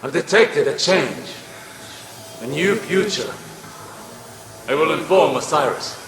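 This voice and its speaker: An otherworldly male seer in a sci-fi game, solemn and portentous. He speaks slowly in a long, cavernous reverb, over clear music. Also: on the high side, animated. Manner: slow, portentous. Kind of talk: video game dramatic dialogue.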